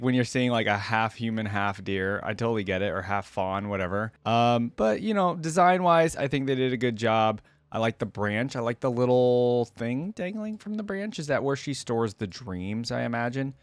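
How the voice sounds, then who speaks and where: A man's voice: mid-range voice, dry recording. A man giving casual, informal reaction commentary as a video host, musing aloud as he goes.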